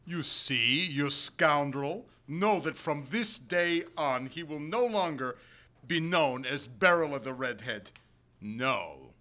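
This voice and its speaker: A man's voice: on the high side, lively intonation, takes it slowly, pushed and bright, full character voice. A man voicing a stern, mocking old male schoolteacher who taunts his pupils in a chanting sing-song.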